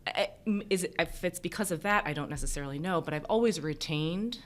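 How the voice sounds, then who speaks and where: A woman's voice: lowish voice, fairly quick. A woman from the United States, a native Mandarin speaker, explaining thoughtfully as a physician in a reflective interview. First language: Mandarin Chinese. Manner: thoughtful, explanatory, hedging with "kind of" and "you know". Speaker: emergency physician being interviewed.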